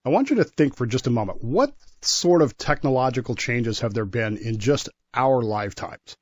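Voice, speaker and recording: An American man co-hosting a history segment on talk radio, explaining things in a wry, measured, casual way. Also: mid-range voice, lively intonation, dry recording.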